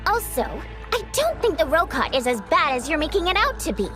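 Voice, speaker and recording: An actress playing a lively, chatty young woman in an anime-style video game, speaking expressively. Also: very high voice, fairly quick, over music. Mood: earnest, defensive. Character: lively young woman, secret member of underground group.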